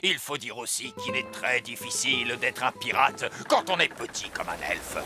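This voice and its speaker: A man's voice: mid-range voice, big pitch swings, moderate pace, natural voice. A man playing a small seafaring pirate elf uncle in a children's cartoon, telling tales in a dramatic, theatrical way. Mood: boastful, mysterious. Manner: dramatic, swooping storytelling. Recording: music behind the voice.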